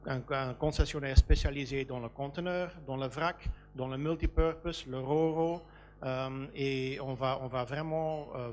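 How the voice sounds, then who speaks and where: A man's voice: mid-range voice, darkish tone. A man from Belgium speaking on a business panel about a port, hesitant with many fillers and restarts. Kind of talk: panel discussion.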